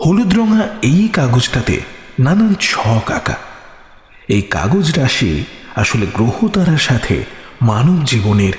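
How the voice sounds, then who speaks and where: A man narrating a scripted horror audio story in a theatrical, suspenseful manner. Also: medium pitch. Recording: reverberant hall effect with music behind. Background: music.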